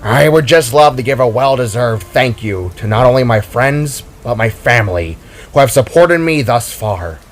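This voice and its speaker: A man's voice: mid-range voice, expressive, normal speed, soft background music, lightly acted. A man voicing a tiny, kindly animal mayor who repeats and stammers over his words in a story. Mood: sincere, grateful.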